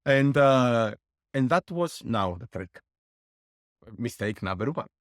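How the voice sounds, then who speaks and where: A man's voice: medium pitch, animated, slow pace, no echo. Guest, middle-aged man, dry close mic, breaks down costs step by step. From Greece. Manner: explains with worked numbers and lessons, laughs wryly. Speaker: guest, board game publisher. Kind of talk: interview answers.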